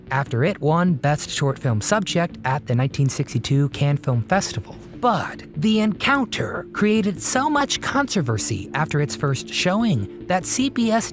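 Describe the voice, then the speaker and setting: Narrator reading over clear music. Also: medium pitch. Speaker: documentary narrator. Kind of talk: scripted narration.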